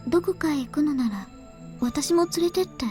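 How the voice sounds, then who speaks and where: Young anime girl, very high voice, quick, delicate, polite, visual novel, background music. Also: animated, dark tone. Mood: flat, polite. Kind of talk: Japanese visual novel voice acting. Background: game background music.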